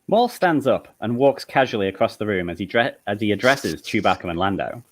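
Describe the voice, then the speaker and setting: A man's voice: fairly low pitch, animated, fairly quick. A man narrating stage directions from a script at a live comedy table read.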